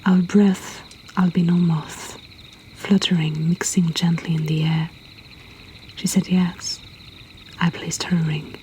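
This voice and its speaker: Whispering woman, slow and low. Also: level tone, mellow, a little hiss or hum, rough recording. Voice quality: breathy, whispered. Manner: slow, deliberate, with long pauses. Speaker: host of a poetry reading. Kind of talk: whispered, intimate ASMR-like poetry reading by a storyteller.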